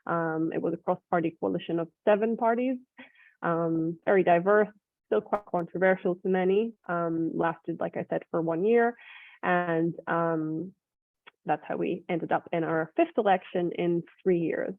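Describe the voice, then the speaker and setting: A woman's voice: on the low side, fairly even intonation, unhurried, dry recording. Woman, Scottish-Israeli accent, explaining with lots of ums, tinny video-call line, dry. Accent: mixed Scottish and Israeli. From Scotland. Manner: explanatory, many filler ums. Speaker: guest speaker, communications director. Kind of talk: webinar presentation. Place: home or office in Israel.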